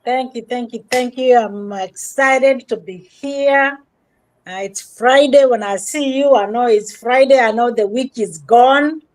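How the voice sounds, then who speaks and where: Woman mentor, fairly high voice, slow and excited, repeating phrases over a video call. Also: poor audio. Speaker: guest mentor. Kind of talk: casual introduction on a live stream.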